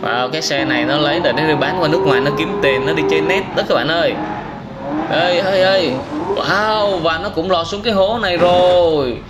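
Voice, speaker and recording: High-voiced man commentating over noisy game footage. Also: fairly quick, lo-fi sound. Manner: exclamatory, simple child-directed storytelling. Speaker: host of gaming reaction videos for children. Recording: noisy, car engine sounds behind.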